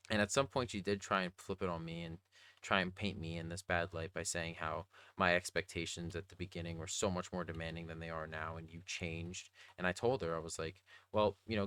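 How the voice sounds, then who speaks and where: A young adult man with a slightly raspy voice, talking casually and earnestly in a rambling, slangy video diary. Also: low voice, fairly even intonation, moderate pace, fairly bright tone, dry recording. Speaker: vlogger.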